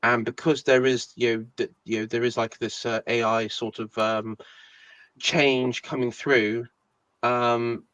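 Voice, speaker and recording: A man's voice: medium pitch, little pitch movement, measured pace, a bit bright, clean tone, no room sound, dull audio. A man co-hosting a casual online political chat, rambling with many fillers like um and you know.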